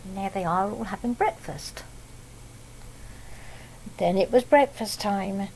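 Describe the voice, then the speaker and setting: A woman reading a picture book aloud to young children, pausing to explain things simply and ask them questions. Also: medium pitch, a little mellow, slight background noise, rough recording. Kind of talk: read-aloud picture book storytelling with asides.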